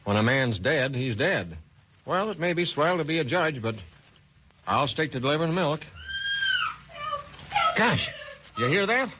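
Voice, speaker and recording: Folksy, cautious milkman, bright voice. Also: on the high side, very animated, a little slow, pauses often, slight background noise, full character voice. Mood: uneasy. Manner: hesitant, plain-spoken, folksy exclamations. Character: folksy, cautious milkman, ordinary good-natured working man. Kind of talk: old-time radio drama acting. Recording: vintage radio drama recording.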